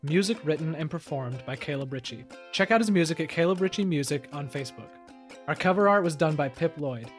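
A man's voice: a little high, expressive, a little fast, soft background music. A man reading scripted podcast credits and sign-off as the show's announcer.

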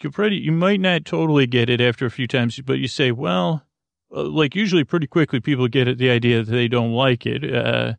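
Creaky guy rambling on a bedtime podcast, dry close mic, keeps losing his train of thought. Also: mid-range voice, dry recording. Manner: meandering, halting, many restarts and fillers; drowsy, soothing delivery. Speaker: sleep podcast host. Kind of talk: rambling late-night monologue.

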